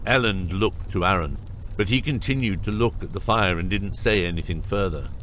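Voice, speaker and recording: Low-voiced man narrating fantasy. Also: moderate pace, a bit bright, slight background noise. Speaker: audiobook narrator. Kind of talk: scripted fantasy audiobook narration.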